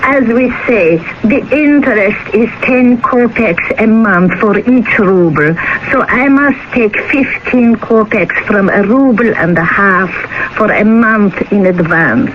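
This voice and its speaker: Woman acting slow, stingy older pawnbroker woman. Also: medium pitch, close to an everyday voice. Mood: businesslike, curt. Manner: slow, dismissive, haggling. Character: stingy, suspicious older female pawnbroker, curt and unyielding. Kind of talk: 1970s radio drama acting. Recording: dull, noisy radio recording.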